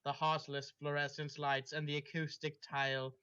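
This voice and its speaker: A man with a bright, fairly high voice reads fan fiction out loud in an informal way. He slips between the text and chatty asides to whoever is listening. The recording is dull and lo-fi. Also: level tone, normal speed, clear, resonant tone. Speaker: story reader. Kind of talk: reading fan fiction aloud, with casual asides.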